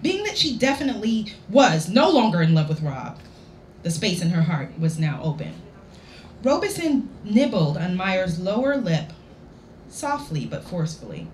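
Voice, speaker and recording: A woman reads her story to a live audience with lively, swooping pitch and theatrical pauses. Her delivery is playful and expressive. The recording is roomy, with some background noise. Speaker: author reading own work. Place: indoor lounge at a public reading event.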